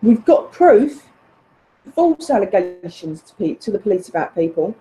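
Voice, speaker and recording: A woman's voice: mid-range voice, big pitch swings, normal speed, mellow, rough recording. A woman delivering an indignant, emphatic personal rant, addressed accusingly to someone who is absent.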